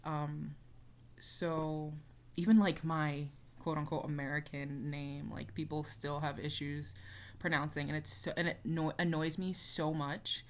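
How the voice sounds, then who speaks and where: A woman's voice: deep voice, flat delivery, unhurried. A young woman chatting casually as she reacts to a music video, rambling with lots of fillers. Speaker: reaction YouTuber.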